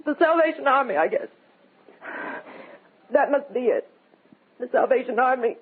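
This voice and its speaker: A worn-out, overwrought widow, pleading in a very high, husky voice that sounds fragile. It is a tinny vintage radio drama recording. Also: female voice, lively intonation, moderate pace. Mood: distraught. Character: grief-stricken, worn-out widow of a party member. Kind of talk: old American radio drama acting.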